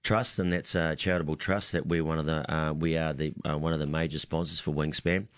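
Kiwi winemaker guest, deep voice, loose repetitive chat, dry studio. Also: male voice, steady tone, a little fast, clean tone, dry recording. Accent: New Zealand. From New Zealand. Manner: rambling, repeats words, laughs easily. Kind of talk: casual talk radio chat.

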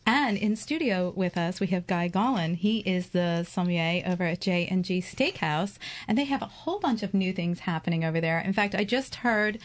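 A woman's voice: on the low side, animated, normal speed. A woman co-hosting a radio show in the studio, bubbly and teasing. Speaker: radio co-host. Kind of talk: casual radio chat.

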